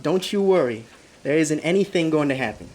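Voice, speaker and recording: A man acting a haunted man in his thirties who recounts his road trip in a radio drama, urgent and halting. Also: on the high side, animated, a little mellow. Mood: breezy, reassuring.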